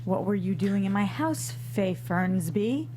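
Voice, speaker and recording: A woman's voice: medium pitch, lively intonation, unhurried, noisy background, lightly acted. A woman playing a brash, sarcastic, foul-mouthed tough woman with a demanding manner in a comedy table read. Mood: angry, threatening.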